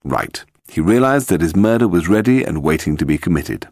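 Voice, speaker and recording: Audiobook casting: male narrator as calm, wry gentleman detective, cheerful, explanatory, expressive pitch, gravelly edge. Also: a little fast, no echo, studio quality. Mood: explaining.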